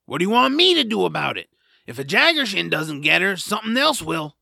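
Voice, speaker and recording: Grumpy, very creaky little creature. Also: medium pitch, very animated, a little fast, sharp tone, dry recording, clean studio sound, exaggerated voice. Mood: grudging. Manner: grudging, complaining. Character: grumpy, lazy nap-loving small creature named Hobbs. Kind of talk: cartoonish audio drama acting.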